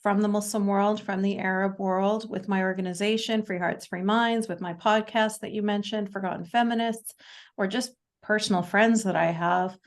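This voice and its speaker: A Canadian woman in her forties, a guest speaking in a casual interview, talking haltingly with many fillers and restarts. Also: medium pitch, fairly even intonation. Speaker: podcast guest.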